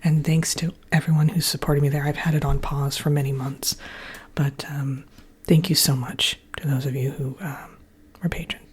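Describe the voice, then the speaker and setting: A woman hosting an ASMR channel, giving a whispered update chat with soft pauses and fillers while congested and croaky from allergies. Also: low voice, little pitch movement, slight background noise.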